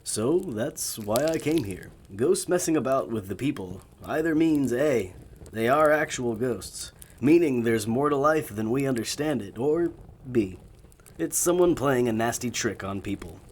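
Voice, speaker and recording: An actor playing a polite, earnest gentleman magician in an audio drama, speaking courteously and a little formally. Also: mid-range voice, lively intonation, a little slow, slight background noise, natural voice. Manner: courteous, slightly formal phrasing.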